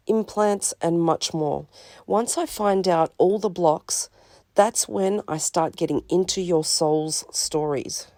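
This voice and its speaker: A woman with a slightly sniffly voice talks in a calm, measured way, as if reading a prepared script about her counselling work. Her voice sits low and mellow, recorded close and dry with no room sound. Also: dry recording. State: a little sniffly from a cold. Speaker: spiritual counsellor presenting her service. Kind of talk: scripted promotional talk.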